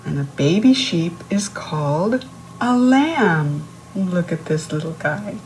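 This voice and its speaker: A woman's voice: on the low side, lively intonation, takes it slowly, a little mellow, slight background noise, poor audio. A woman reading a picture book aloud to young children, instructive, posing questions to the listener and explaining words. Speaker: children's read-aloud host.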